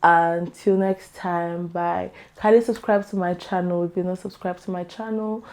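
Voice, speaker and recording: A woman vlogger with a hoarse, worn-out voice, talking casually and with strong opinions to her camera. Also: fairly low pitch, steady tone, normal speed, a little mellow. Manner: chatty, opinionated, laughs often. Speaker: YouTube vlogger.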